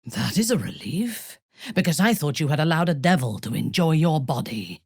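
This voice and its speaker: A woman voicing a haughty, ruthless drow warrior in a fantasy video game, husky, measured and imperious. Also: sits low, very animated, darkish tone, no echo, studio quality, heavily acted. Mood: cold, stern.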